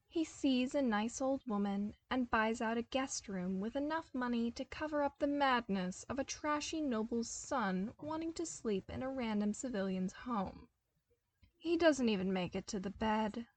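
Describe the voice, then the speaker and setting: A woman reading a fan story aloud as a podfic, narrating with animated, emphatic bursts. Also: fairly high pitch, breathy edge. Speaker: podfic reader. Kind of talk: expressive fanfiction narration.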